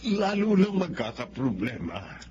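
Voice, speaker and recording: A man acting a gentle, grave middle-aged father in a Filipino radio drama. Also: mid-range voice, wildly swooping pitch, a little slow. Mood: consoling, cautious. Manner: grave, consoling. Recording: muffled radio drama with background noise.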